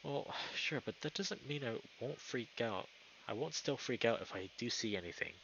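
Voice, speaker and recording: A man voicing a timid, gentle young male lynx in a visual novel, speaking hesitantly and softly. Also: mid-range voice, expressive, moderate pace, creaky, dull audio, slight background noise. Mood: uneasy.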